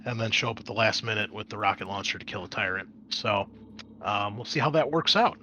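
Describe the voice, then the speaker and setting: A man's voice: on the low side, fairly quick, bright, forceful tone, a little hiss or hum. A man running a tabletop game as game master, narrating in a casual way with some hesitant phrasing.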